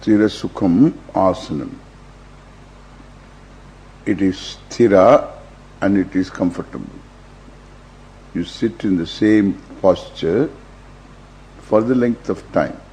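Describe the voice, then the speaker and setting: An older man with an Indian accent guiding a meditation as a teacher, in measured short phrases with long pauses. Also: low voice, dark tone. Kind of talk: guided meditation, slow instruction. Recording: dull lo-fi recording with faint hum.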